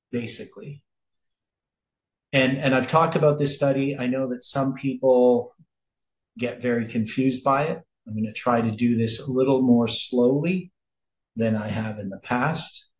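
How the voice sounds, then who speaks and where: A man in his sixties leading a sermon-style Bible study, explaining slowly and thinking aloud, with frequent self-corrections. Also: medium pitch, darkish tone. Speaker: preacher. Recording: roomy, slightly dull sound.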